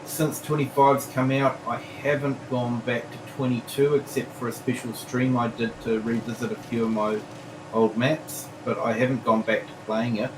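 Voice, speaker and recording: A man's voice: mid-range voice, flat delivery, roomy sound, noisy background. A man casually chatting on a live game stream, reading chat aloud with lots of ums. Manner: unhurried, reads chat aloud, frequent ums.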